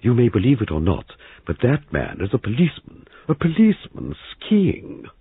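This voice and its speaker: A man voicing a snobbish, disapproving older woman in an audiobook, acerbic and booming. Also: wildly swooping pitch, brisk, slightly rough, no room sound, heavily acted. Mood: scornful. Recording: muffled phone-like audio.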